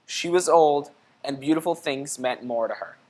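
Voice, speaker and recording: Low-voiced woman reading a children's story. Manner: teacherly, expressive, pausing to ask questions. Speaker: storybook reader. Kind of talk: children's read-aloud with questions to listeners.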